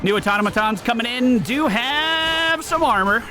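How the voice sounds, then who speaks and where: Excitable male gaming streamer, very animated. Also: very high voice, unhurried. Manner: excitable, slangy, swearing. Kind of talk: casual live gameplay commentary. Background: faint game music.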